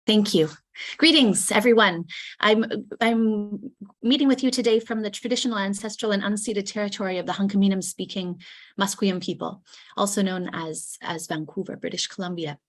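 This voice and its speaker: A woman presenting her research at an online book launch, speaking in a formal, deliberate and carefully worded way. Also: medium pitch. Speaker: educator presenting a chapter. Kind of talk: prepared academic presentation.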